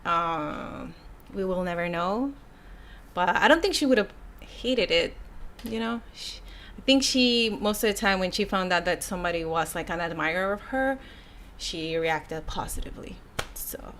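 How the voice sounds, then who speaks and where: A woman's voice: fairly low pitch, lively intonation, a little slow, fairly bright tone, a little hiss or hum. A young woman from Mexico with a Mexican Spanish accent, chatting casually on a live stream as she reads viewer comments aloud, sighing and laughing between thoughts. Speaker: YouTuber reading viewer comments.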